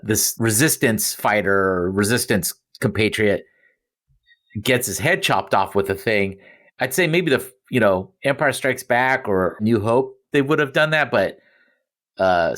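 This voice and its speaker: A man talking casually as a podcast co-host, halting and correcting himself as he goes. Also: fairly low pitch, a bit bright. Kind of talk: casual fan discussion.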